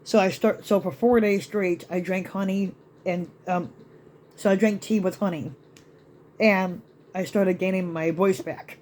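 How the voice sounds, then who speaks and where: A man ranting in a rambling vlog to his channel, with many restarts and fillers, his voice still slightly raspy after being sick. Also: high voice, fairly even intonation, measured pace, a little mellow, slight background noise. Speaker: YouTube vlogger. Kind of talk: rambling rant to camera.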